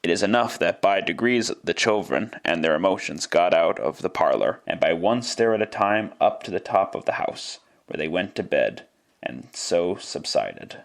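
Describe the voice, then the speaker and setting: A man with a low voice narrates a literary tale in classic radio style. He delivers it as scripted dramatic storytelling. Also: sing-song, moderate pace, gravelly.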